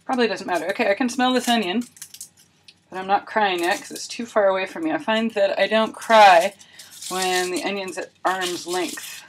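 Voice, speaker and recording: A woman's voice: medium pitch, normal speed, slight background noise, low-quality recording. A woman video blogger talking casually and thinking aloud, with wry, self-deprecating humour. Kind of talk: casual chatty vlog narration of a homemade experiment.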